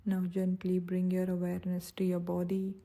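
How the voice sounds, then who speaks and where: A woman guiding a meditation in a soft, breathy, soothing and gentle voice, speaking without hurry. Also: on the low side, monotone, normal speed. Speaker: meditation guide. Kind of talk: guided meditation narration.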